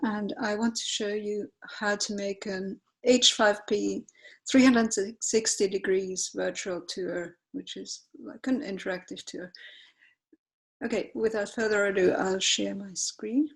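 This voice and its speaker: A woman in her forties, a native Finnish speaker with a Finnish accent, walks through a software tutorial while sharing her screen, often pausing with fillers and correcting herself. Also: medium pitch, steady tone, creaky, husky, rough recording. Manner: unhurried, hesitant, many fillers and self-corrections. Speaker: tutorial presenter.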